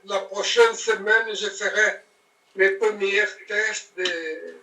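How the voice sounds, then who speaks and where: A Brazilian man speaking French as a learner, hesitant and halting. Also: sits high, steady tone, bright, forceful tone, echoey room, far from the mic, a little hiss or hum, poor audio. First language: Portuguese. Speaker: language learner.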